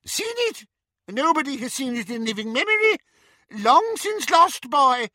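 A man voicing a squeaky, flustered little old male professor in an audiobook story. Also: lively intonation, a little slow, bright, forceful tone, no room sound, studio quality, exaggerated voice. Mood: incredulous. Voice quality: squeaky, thin.

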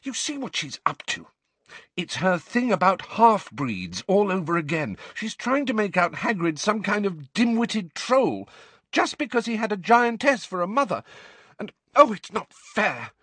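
Man voicing an indignant, high-pitched teenage girl. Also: sing-song, unhurried, sharp tone, husky, dry recording, full character voice. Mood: furious. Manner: emphatic, impatient, insistent.

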